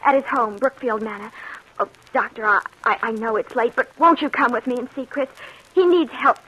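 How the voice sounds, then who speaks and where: A woman's voice: fairly high pitch, normal speed, a bit bright, breathy edge, low-quality recording. An actress playing a devoted, anxious young fiancée in her twenties, breathless and polite, in 1940s radio drama style. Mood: distressed. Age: 20s.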